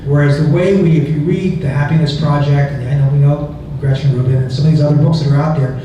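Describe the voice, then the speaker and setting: A man's voice: on the high side, fairly even intonation, fast talker. A man presenting his book to an audience, talking conversationally with restarts and chuckling asides. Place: museum event hall. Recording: echoey hall from far off, noisy.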